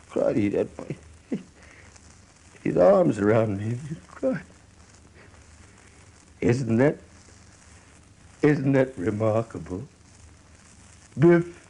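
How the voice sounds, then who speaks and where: An actor playing a proud, confused old American father in a radio drama, gravelly and husky, stammering and repeating himself. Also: medium pitch, sing-song, very slow, pauses often, dark tone, full character voice, poor audio. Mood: moved, amazed. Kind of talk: stage-style radio drama acting.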